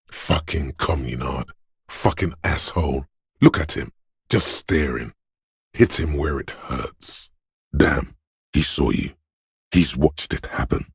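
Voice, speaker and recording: A male actor voicing a menacing inner voice of primal fear and rage in a video game, narrating in a gravelly, husky, ominous, deliberate way. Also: rumbling low, dry recording, studio quality, exaggerated voice. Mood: seething rage, then uneasy, watchful. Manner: ominous, slow, deliberate delivery. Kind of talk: dramatic second-person game narration.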